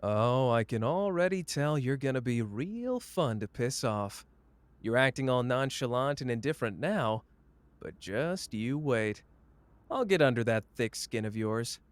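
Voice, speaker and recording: A man's voice: medium pitch, animated, measured pace, no echo, natural voice. A man playing a cocky, flamboyant young sorcerer who teases the listener in a playful, smug roleplay audio drama. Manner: playful, smug, drawling teasing.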